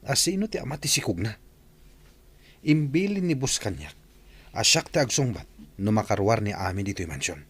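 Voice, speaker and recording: A man acting a nosy, excitable servant in an Ilocano radio comedy drama. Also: lowish voice, normal speed, pauses often, husky. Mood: gossiping.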